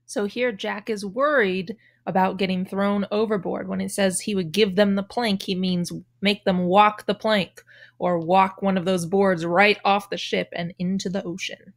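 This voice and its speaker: A woman's voice: mid-range voice, steady tone, moderate pace. Woman explaining a story plainly.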